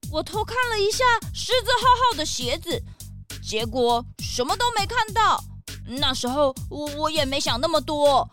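An eager young detective's sidekick in a children's radio play. The voice is very high and bright, swinging widely with earnest excitement. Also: female voice. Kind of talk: children's audio drama acting.

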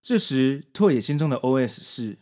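A man's voice: medium pitch, animated, moderate pace, mellow. A man hosting a podcast, narrating and commenting on a story from prepared notes in an explanatory way. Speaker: podcast host.

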